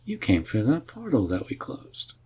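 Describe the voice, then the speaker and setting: Deep, husky man acting a wry mage. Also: huge pitch swings, moderate pace, heavily acted. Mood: puzzled. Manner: musing, gently teasing. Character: laid-back, wry, curious male fantasy mage. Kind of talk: roleplay audio drama addressed to the listener.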